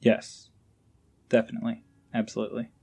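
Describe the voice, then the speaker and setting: A man's voice: mid-range voice, completely flat delivery, brisk, vocal fry, mellow, breathy edge. A man speaking as a podcast guest in a casual, informal and somewhat hesitant, enthusiastic way. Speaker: podcast guest, amateur voice actor. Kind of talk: casual interview chat.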